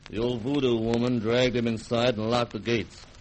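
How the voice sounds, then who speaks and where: An actor playing a measured, doubtful colonial governor in a vintage radio drama, speaking formally and with restraint. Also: male voice, mid-range voice, level tone, lightly acted. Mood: grave, measured. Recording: faintly noisy old radio recording.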